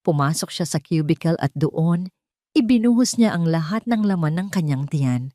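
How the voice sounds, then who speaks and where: A woman narrating a dramatic story in a scripted storytelling style, slowing down and lifting her voice for tense moments. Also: low-pitched, animated, mellow, no room sound, studio quality.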